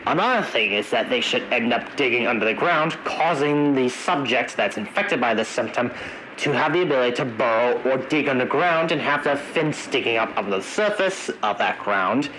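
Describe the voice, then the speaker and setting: A man narrating an analog horror emergency briefing in a halting, wordy way, searching for his words. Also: mid-range voice, lively intonation, sharp tone, a little hiss or hum, low-quality recording.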